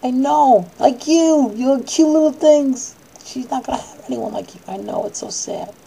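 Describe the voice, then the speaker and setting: A woman's voice: sits high, moderate pace, vocal fry, mellow, slight background noise, rough recording. A woman acting out a bedtime story for children, moving between dramatic reading and coaxing, soothing asides.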